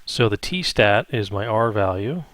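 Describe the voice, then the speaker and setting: A man with a fairly low voice leads an informal step-by-step tutorial. He thinks aloud as he works and keeps checking in with little questions. The sound is dry, with very little room on it. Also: animated, a little slow, fairly bright tone, dry recording. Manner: thinks aloud, frequent check-in tags like "right?". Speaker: math instructor. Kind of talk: improvised step-by-step spreadsheet tutorial.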